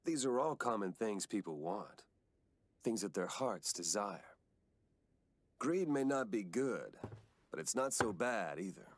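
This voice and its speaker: A man's voice: medium pitch, slight background noise. A man playing a cocky, greedy, smugly philosophical young villain in an English anime dub.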